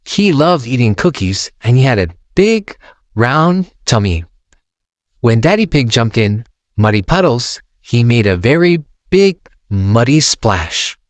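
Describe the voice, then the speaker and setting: A man narrating a children's storybook aloud in an expressive, sing-song way, close to the mic. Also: medium pitch, slow, no echo.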